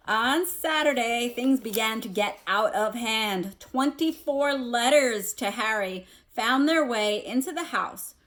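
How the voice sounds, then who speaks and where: A woman reading a children's novel aloud like a teacher, expressively, with casual asides. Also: fairly high pitch.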